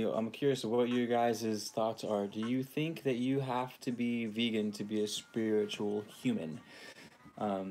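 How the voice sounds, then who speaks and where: A man's voice: lowish voice, darkish tone. A man from California hosting a casual livestream, rambling in a laid-back way with frequent ums and greeting viewers by name. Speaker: livestream host. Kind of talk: casual livestream chat reading viewer comments.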